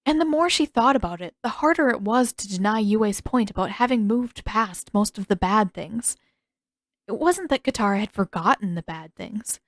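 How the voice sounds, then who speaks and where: A woman reading scripted fiction as an expressive storytelling narrator. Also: medium pitch, moderate pace, no echo, clean studio sound.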